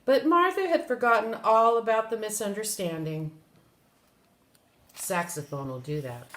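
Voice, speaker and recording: Animated older woman reading a storybook. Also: mid-range voice. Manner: expressive, animated. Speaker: storybook reader. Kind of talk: children's story read-aloud with brief asides. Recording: roomy echo.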